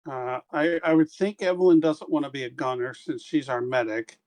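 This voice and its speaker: A man's voice: mid-range voice, moderate pace, darkish tone. A man playing in a tabletop game, chatting casually and thinking aloud about tactics. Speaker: player.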